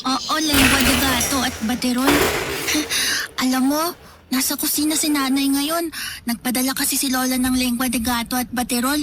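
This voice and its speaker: A woman's voice: high voice, level tone, normal speed, noisy background, natural voice. A woman voicing an earnest, pleading young girl in a radio drama. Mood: eager. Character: young girl waiting by the phone for her friend. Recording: loud music underneath.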